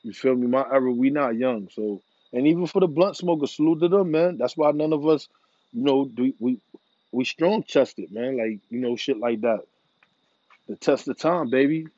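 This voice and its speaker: A man's voice: mid-range voice, normal speed, dark tone, dry recording, highs cut off. A man in a casual, rambling monologue, laid-back and profane, full of slang. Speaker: vlogger. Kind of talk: casual monologue about smoking.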